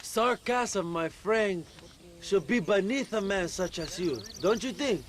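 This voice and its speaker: An actor playing a vain, charismatic Mexican revolutionary leader with a pompous, theatrical manner in a video game cutscene. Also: male voice, sits high, lively intonation, slow pace. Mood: playful.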